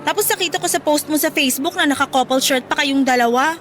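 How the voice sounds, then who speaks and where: Very high-pitched, blunt young woman friend. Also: fast talker, fairly bright tone, slight background noise. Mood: teasing. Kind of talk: Filipino radio drama acting.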